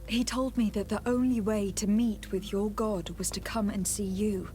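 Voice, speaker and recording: A woman's voice: mid-range voice, fairly even intonation, moderate pace, mellow, a little hiss or hum, close to an everyday voice. An actress playing a courteous, composed young noblewoman diplomat in a fantasy video game, polite and formal. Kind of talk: video game dialogue acting.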